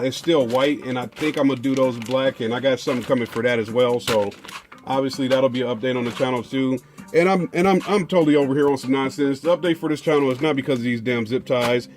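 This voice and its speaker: A man's voice: medium pitch, darkish tone. A man, chatting casually as he unboxes car audio gear, rambling with lots of fillers and restarts.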